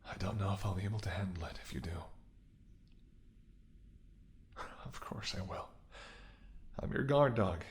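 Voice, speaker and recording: A man's voice: sits low, animated, a little fast, slight background noise. A man acting in a romantic audio roleplay as a devoted, stubborn ex-bodyguard, speaking earnestly and intimately with emotional intensity. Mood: soft, devoted. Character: devoted gruff ex-bodyguard, fiercely loving, stubborn and protective.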